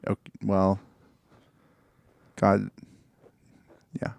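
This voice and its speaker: A man's voice: fairly low pitch, monotone, extremely slow, gravelly, darkish tone, no echo. A man co-hosting a podcast with casual comedic banter. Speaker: podcast co-host.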